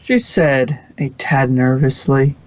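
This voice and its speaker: A man's voice: mid-range voice, slow. A man narrating fan fiction from a script in an informal way, with occasional stumbles. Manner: informal, stumbles and self-corrects. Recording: dull lo-fi recording.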